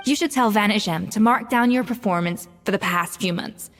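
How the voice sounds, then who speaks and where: An actress playing a proud, sharp-tongued head chef with dry, cutting wit. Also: female voice, mid-range voice, normal speed, a little hiss or hum, lightly acted. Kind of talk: dubbed game dialogue. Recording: game audio, reverb, music under.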